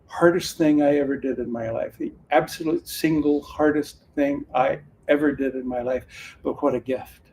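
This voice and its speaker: A man's voice: fairly high pitch, steady tone, normal speed, a little mellow, slight background noise, rough recording. Earnest older man, folksy storytelling. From Northern California. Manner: earnest, folksy, with frequent restarts. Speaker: retired sheriff's officer turned card reader. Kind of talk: casual storytelling talk.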